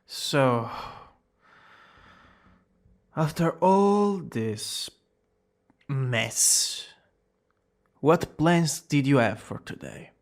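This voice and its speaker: A man's voice: mid-range voice, lively intonation, full character voice. A man playing a smug, dramatic young villain student who is secretly smitten, in an ASMR romance roleplay. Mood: sheepish, resigned. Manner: dramatic, drawn-out boasting that slips into breathy whispers and flustered exclamations. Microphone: close studio-style microphone.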